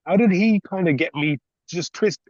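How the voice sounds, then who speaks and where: A man's voice: sits high, moderate pace, a little mellow, no room sound, dull audio. A man from Ireland with an Irish accent, hosting an interview in a conversational style. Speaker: podcast interviewer.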